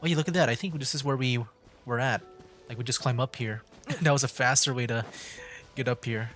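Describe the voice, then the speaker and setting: A man's voice: mid-range voice, fairly quick, a bit bright. A man livestreaming a video game, rambling casually and thinking aloud as he plays. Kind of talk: casual gameplay commentary. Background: game music.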